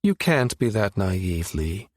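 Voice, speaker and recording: A man voicing a cold, scheming male villain in an audiobook, smug and calculating, with a mocking, measured menace. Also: lowish voice, big pitch swings, full character voice.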